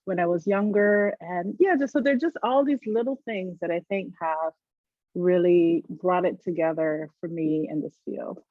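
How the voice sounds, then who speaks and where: A woman's voice: on the low side, normal speed, no echo. A middle-aged woman from Barbados, a psychologist, reflecting thoughtfully as a guest in an interview. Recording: dry tinny phone-like line.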